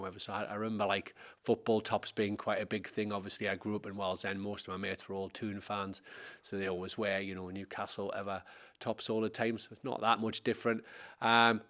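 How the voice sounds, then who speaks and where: A man in his forties, originally from Scotland, recalls his childhood in an interview. His voice sits low and stays level, with little rise or fall. He drifts from point to point, hedging with "like" and "kind of". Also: a bit bright. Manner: meandering, hedging with many fillers. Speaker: interview guest. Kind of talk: casual reminiscing.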